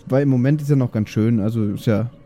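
Very mellow, laid-back man. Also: medium pitch, fast talker, slight background noise. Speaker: player in a tabletop game. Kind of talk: casual game table chat.

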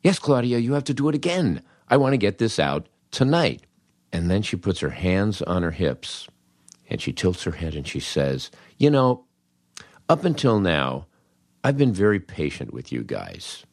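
An older American man with a low voice tells funny first-person stories in a dry, close recording. His delivery is wry and animated, with dramatic pauses and little bits of acted-out dialogue. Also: no room sound. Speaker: podcast host, comedy writer. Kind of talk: humorous first-person storytelling monologue.

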